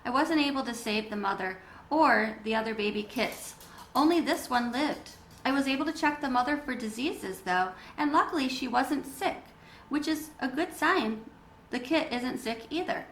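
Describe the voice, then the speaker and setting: Storybook mother voiced by a woman, gentle and reassuring, lively intonation, echoey room with faint noise. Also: sits high, moderate pace. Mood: regretful. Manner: explaining, reassuring. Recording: roomy echo with background noise.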